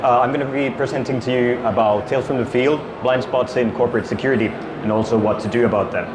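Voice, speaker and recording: A man's voice: mid-range voice, steady tone, quick pace. A middle-aged man from Finland gives a casual conference talk on a handheld mic, full of fillers and restarts. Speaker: conference speaker, security consultant. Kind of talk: conference presentation. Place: conference stage in an exhibition hall. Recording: echoey hall with ambient noise.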